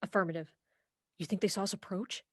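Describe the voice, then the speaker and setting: A young woman acting in a comic radio play as an earnest, headstrong police officer, brisk and argumentative. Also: on the low side, fairly even intonation, a little mellow, dry recording, close to an everyday voice. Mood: brisk, official. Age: young adult.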